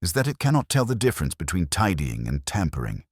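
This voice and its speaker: A patient and shrewd inspector, acted out by a male reader in a detective story. He has a very low voice with expressive movement in pitch, and probes quietly in measured phrases. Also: husky, no room sound, studio quality, full character voice. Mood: mildly probing. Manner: measured, epigrammatic, quietly probing.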